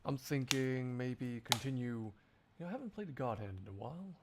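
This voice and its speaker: A man's voice: mid-range voice, moderate pace, heavy background noise. A man live streaming, chatting casually and hesitantly as he thinks aloud. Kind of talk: casual chat.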